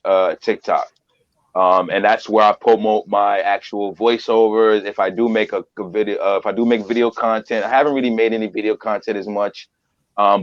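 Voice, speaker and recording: Earnest man with dull lo-fi sound. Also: fairly low pitch. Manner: earnest, repeats phrases for emphasis. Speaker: podcast guest, voiceover artist. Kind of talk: casual interview talk.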